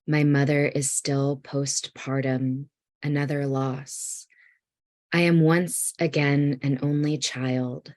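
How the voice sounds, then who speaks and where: A woman reading a poem aloud in a measured literary style. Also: deep voice, a little mellow, no echo. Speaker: poet reading own work.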